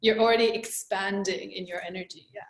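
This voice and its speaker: A young woman from Romania speaks as an interview guest, calm and reflective, her words flowing on and doubling back as she searches for them. Her voice sits at an ordinary middle pitch. It carries a noticeable room echo. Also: a little fast. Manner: reflective, flowing, repeats words while thinking. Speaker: guest, energy healer and mindset coach. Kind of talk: casual interview conversation.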